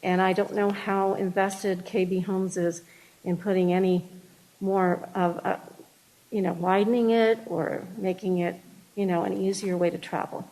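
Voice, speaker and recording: A woman's voice: medium pitch, level tone, unhurried, mellow, poor audio. A woman speaking during public comment at a city meeting, hesitant and full of fillers and restarts.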